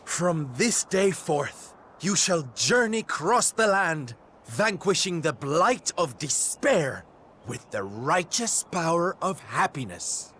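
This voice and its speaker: A male actor playing a flamboyant, warm and peppy troupe leader in a video game, camp, theatrical and exclamatory. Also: fairly high pitch, big pitch swings, slow, a little hiss or hum, full character voice. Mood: rousing, grand. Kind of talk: video game cutscene voice acting. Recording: background music under the voice. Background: orchestral game music.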